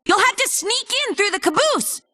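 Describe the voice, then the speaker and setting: A woman's voice: extremely high pitch, lively intonation, moderate pace, bright, forceful tone, no room sound, exaggerated voice. A brave adventurer woman from a video game, who guides heroes with upbeat confidence. She is sassy and slips in wry asides. The sound is clean and dry, with no room around the voice. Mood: urgent, determined. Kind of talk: video game character voice lines.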